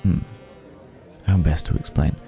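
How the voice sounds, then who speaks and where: A man playing a refined, wistful, centuries-old vampire in an intimate ASMR roleplay, speaking reflectively with lingering pauses. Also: dark tone, highs cut off, faint music behind, close to an everyday voice. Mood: musing.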